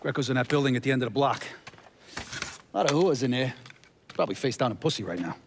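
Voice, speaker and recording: A man's voice: mid-range voice, lively intonation, fast talker, background noise, close to an everyday voice. A man acting a brash, foul-mouthed, vengeful mobster in a crime game, with a crude, cocky way of talking. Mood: urgent, aggressive. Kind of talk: video game cutscene acting.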